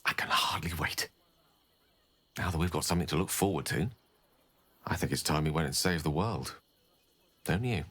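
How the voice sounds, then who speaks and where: An actor playing an eloquent, refined wizard in a video game, speaking articulately and theatrically. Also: male voice, very deep voice, moderate pace, pauses often, fairly bright tone. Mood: tender.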